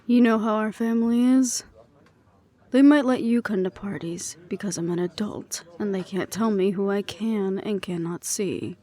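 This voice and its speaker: A woman playing a wry, affectionate ex-hunter in an intimate audio roleplay, speaking softly and teasingly, with breathy asides. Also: mid-range voice, fairly even intonation, normal speed, lightly acted. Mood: weary, earnest. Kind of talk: intimate one-sided audio roleplay acting.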